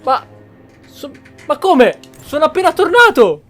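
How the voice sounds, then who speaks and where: A man's voice: extremely high pitch, very animated, normal speed, a bit bright. A man giving casual reaction commentary as host of a gameplay video. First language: Italian. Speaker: let's-play host. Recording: game music behind the voice.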